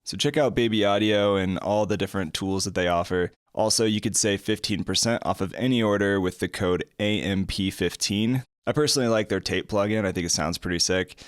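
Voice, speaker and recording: Deep smooth guy doing a chill sponsor read, dry close sound. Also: fairly quick, few pauses, dry recording. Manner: relaxed, chatty pitch with shout-outs. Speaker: podcast host reading a sponsor spot. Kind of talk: casual ad read.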